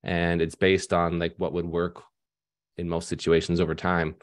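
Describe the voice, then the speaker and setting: A deep-voiced man in his thirties speaks over a headset mic on a video call from a room at home. He explains casually and loosely, full of fillers and restarts. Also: completely flat delivery, normal speed. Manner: conversational with many fillers and restarts. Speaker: financial advisor panelist. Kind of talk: casual panel discussion.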